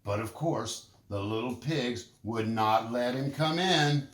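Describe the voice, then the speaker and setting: A man narrating a children's story in an expressive, deliberate storytelling manner. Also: medium pitch, reverberant, a little hiss or hum. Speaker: storybook reader.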